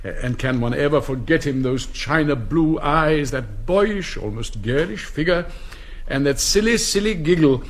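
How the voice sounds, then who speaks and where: An actor playing a pompous elderly English headmaster who lectures in a mannered, declamatory, theatrical way. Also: male voice, on the high side, expressive, a little slow. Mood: grandly lecturing.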